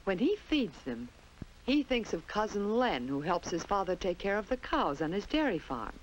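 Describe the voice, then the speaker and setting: A woman's voice: mid-range voice, big pitch swings, moderate pace, a little hiss or hum, low-quality recording. An American woman narrating a 1950s children's instructional film in a simple storytelling manner, on an old film soundtrack. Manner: simple, storytelling delivery addressed to young children.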